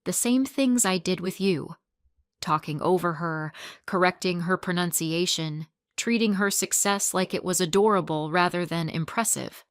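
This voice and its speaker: A woman's voice: fairly low pitch, normal speed, dry recording, clean studio sound, lightly acted. Woman reading a remorseful ex-fiancé. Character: remorseful man in his thirties, ex-fiancé.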